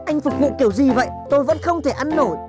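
A fussy cartoon diner voiced by a woman, demanding and hard to please. Her voice is high, with music behind it. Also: quick pace, lightly acted. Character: demanding, fussy female restaurant customer. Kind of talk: comic cartoon dubbing.

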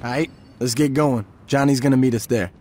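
An actor playing a level-headed young street gang strategist in a video game, streetwise, decisive and profane. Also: male voice, medium pitch, brisk, a little mellow, slight background noise, natural voice. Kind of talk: scripted video game acting.

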